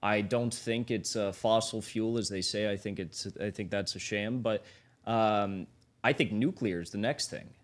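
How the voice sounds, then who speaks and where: A man with a somewhat low voice speaks as a guest on a livestream. He argues politics haltingly and often restarts his phrases.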